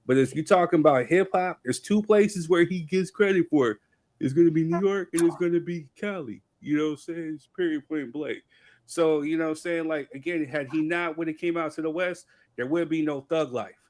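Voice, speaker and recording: A man's voice: a little high, a little fast, a little mellow. A man from California on a podcast panel, debating hip hop in an emphatic casual way, often asking "you know what I'm saying".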